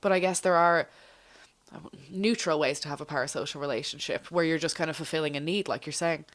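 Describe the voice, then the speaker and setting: A woman co-hosting a podcast in casual chat, agreeable and quick to affirm. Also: deep voice, fairly even intonation. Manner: agreeable, frequent short affirmations.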